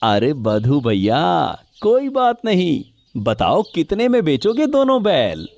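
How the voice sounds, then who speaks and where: A man playing a cunning, grasping farmer in a cartoon moral story, with drawn-out, exaggerated, wheedling and domineering delivery. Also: fairly high pitch, wildly swooping pitch, creaky, a little mellow, exaggerated voice. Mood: falsely friendly. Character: cunning, grasping male farmer haggling over oxen.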